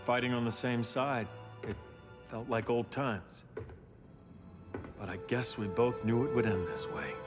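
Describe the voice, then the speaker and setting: An actor playing an embittered, grieving superhuman turned tyrant in a video game, with stern, accusatory dramatic delivery. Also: male voice, medium pitch, a little slow, long pauses, close to an everyday voice. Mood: subdued, regretful. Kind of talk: cinematic video game acting. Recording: loud orchestral music behind the voice.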